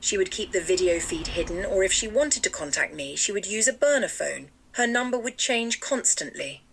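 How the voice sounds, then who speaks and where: A woman's voice: medium pitch, lively intonation, normal speed, creaky, a little hiss or hum. A woman playing an earnest, emphatic interviewee giving testimony in a mystery audio drama. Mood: matter-of-fact recollection. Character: earnest woman interviewee recalling an elusive journalist. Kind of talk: acted documentary testimony.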